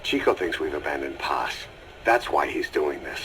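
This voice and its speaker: Gruff game soldier boss, real low forceful voice, terse, noisy tape sound. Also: male voice, lively intonation, moderate pace. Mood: urgent. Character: gruff, stoic male mercenary commander, terse and hardened. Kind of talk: video game voice acting, audio drama dialogue.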